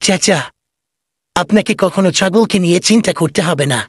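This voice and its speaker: A man's voice: sits high, moderate pace, husky, no room sound, studio quality. A man voicing a sly, glib goat thief and swindler in a comedy cartoon. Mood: glib, persuasive. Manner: glib, wheedling salesman patter.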